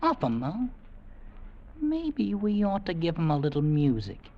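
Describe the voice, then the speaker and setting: A cocky Cockney lad of the regiment in a vintage radio play. His pitch jumps about in a very lively way, and his tongue is sharp and bossy. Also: measured pace, muffled recording, heavily acted. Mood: bossy, scornful. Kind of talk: old-time radio drama acting.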